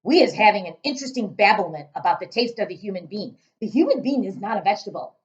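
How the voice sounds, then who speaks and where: Storybook giant, played by a woman: huge, boastful male, loud and booming, raised lively pitch, muffled recording. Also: quick pace, natural voice. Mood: loud, indignant. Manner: emphatic, shouting, mangled grammar and invented words. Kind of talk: children's storybook read-aloud.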